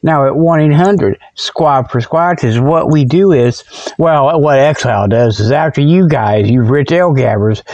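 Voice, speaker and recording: A man's voice: medium pitch, unhurried, darkish tone, no echo, muffled recording. A man hosting a comedy report show as a folksy, rambling monologue, often backtracking and repeating himself.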